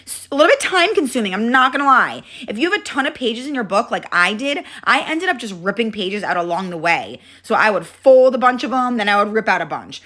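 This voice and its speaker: Young woman host, congested and slightly hoarse, bright lively delivery, friendly tutorial talk. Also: medium pitch. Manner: chatty, friendly, step-by-step instructions with frequent "okay?". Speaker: craft channel host. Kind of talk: casual craft tutorial.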